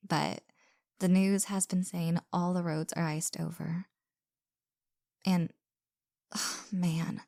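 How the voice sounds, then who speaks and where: An actress plays a caring young woman who is shyly smitten, in an intimate audio roleplay. She takes her time, stammering softly and earnestly, with some vocal fry. The recording is close and dry. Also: on the low side, no room sound, full character voice. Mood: sympathetic, worried. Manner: stammering, hesitant, soft and earnest. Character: flustered, caring young woman hosting her secret crush.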